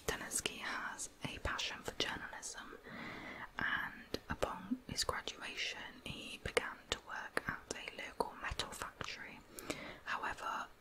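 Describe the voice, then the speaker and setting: Whispering woman, ASMR narrator. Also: poor audio. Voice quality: whispered, unvoiced. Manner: soft, measured, explanatory storytelling. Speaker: ASMR true crime host. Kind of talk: ASMR whispered narration of a true crime case.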